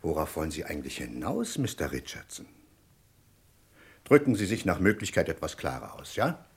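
An actor playing a courteous but probing chief inspector in a German crime radio play, formal and insistently polite. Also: male voice, very deep voice, very animated, normal speed, gravelly, husky, full character voice. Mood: probing, firm.

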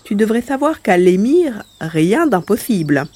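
A tiny furry alien hero speaks in a high, lisping voice. He is a cheerful, boastful rescuer from a science fiction audio drama. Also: big pitch swings, a little slow, a little mellow, husky, no room sound, exaggerated voice. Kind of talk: audio drama character acting.

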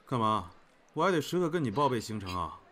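Man playing a chiding, animated big brother. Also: mid-range voice. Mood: defensive, annoyed. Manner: brisk, reproachful. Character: defensive, chiding elder-brother figure. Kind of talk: audio drama dialogue. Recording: faint background noise.

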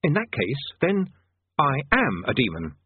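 A man voicing a nervous, cowardly male wizard who talks his way out of trouble, hurried and sarcastic. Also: mid-range voice, huge pitch swings, a little hiss or hum, pitched above the performer's own voice. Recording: tinny phone-like dry sound.

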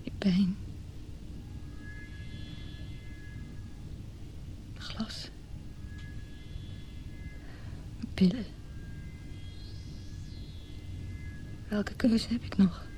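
Actress as a breathy, despairing mistress. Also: female voice, medium pitch, steady tone, warm tone, lightly acted. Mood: bitter despair. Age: 30s. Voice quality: breathy, often whispering. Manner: slow, halting, anguished. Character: despairing mistress in her thirties, wounded and bitter. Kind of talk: radio drama acting, intimate inner monologue.

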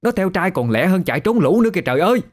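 Giọng nam giả chị hai miền Nam đanh đá, cao giọng. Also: male voice, sits high, very animated, very fast, voice pushed up. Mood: outraged. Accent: Southern Vietnamese. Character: shrill, accusing young village woman, hot-tempered elder sister.

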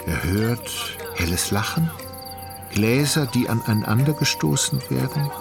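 A man narrating a literary radio play in a measured, dramatic way. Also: medium pitch, animated, creaky, over music.

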